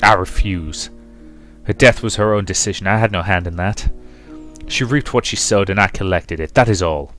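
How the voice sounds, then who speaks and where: A man voicing an ancient, callous male predator who feeds on people, talking in a smooth, condescending, amused way. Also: sits low, moderate pace, a bit bright, faint music behind. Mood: cold. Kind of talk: visual novel voice reading.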